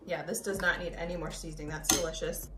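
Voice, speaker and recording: A woman's voice: low voice, fairly even intonation, quick pace, loud music behind the voice. Chatty woman in an echoey kitchen. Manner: chatty, easygoing, tastes as she goes. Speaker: cooking vlogger. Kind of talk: casual cooking demo. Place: home kitchen. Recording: echoey kitchen, voice a bit far from mic, background noise.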